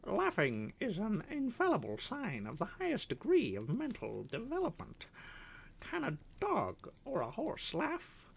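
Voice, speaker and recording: A woman's voice: mid-range voice, wildly swooping pitch, a little slow, creaky, breathy edge, pitched above the performer's own voice, full character voice, poor audio. A woman voicing a boastful, chattering male pet parrot with a smug, mocking manner and a squawked refrain. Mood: lecturing.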